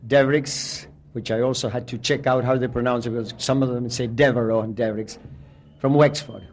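A man's voice: mid-range voice, a little mellow, a little hiss or hum. A man from Argentina whose first language is Spanish, speaking English with an Argentine accent as he answers a radio interview in a reflective way, recalling names and places one by one. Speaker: literature teacher.

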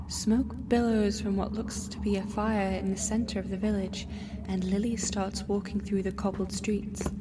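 A woman plays a dream narrator in a fantasy audio drama. Her mid-pitched voice tells the tale as scripted present-tense storytelling, with plainly audible ambient noise behind it. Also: natural voice. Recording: clear background noise and ambience.

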